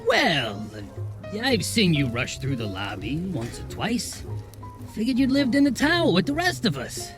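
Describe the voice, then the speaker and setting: An actor playing a wry, slangy goblin bartender in a noir audio drama, familiar and streetwise in manner. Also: male voice, sits high, wildly swooping pitch, measured pace, full character voice. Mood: sly, knowing. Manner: slangy, familiar, calling people kid. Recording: music playing behind the voice. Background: music.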